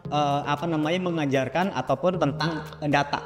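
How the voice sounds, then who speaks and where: An Indonesian-accented man hosts in a fairly high voice, chatty and colloquial, checking back with little tag questions. Long reverb surrounds him, with music clearly audible behind. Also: moderate pace. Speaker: podcast host. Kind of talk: casual interviewing.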